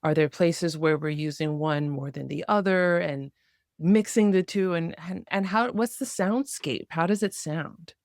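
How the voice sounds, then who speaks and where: A woman's voice: lowish voice, measured pace, darkish tone, no room sound, studio quality. A woman hosting a podcast, asking curious, engaged questions. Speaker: podcast host. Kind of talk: podcast interview.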